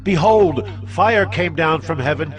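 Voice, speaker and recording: A man's voice: fairly high pitch, animated, a little slow, over music. A man playing a frightened, humble military captain in dramatized scripture audio, pleading deferentially. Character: frightened, humble army captain begging for mercy.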